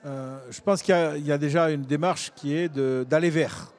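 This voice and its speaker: A man speaking as a local elected official on a panel, haltingly, repeating words as he searches for the next. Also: on the high side, fairly even intonation, darkish tone. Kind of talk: panel discussion, unscripted.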